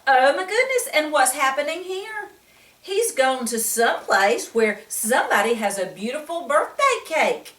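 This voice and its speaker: A woman's voice: sits high, sing-song, a little slow, fairly bright tone. A woman reading a picture book aloud to young children, expressively, with exclamations and frequent questions to the listener. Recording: echoey room, distant microphone.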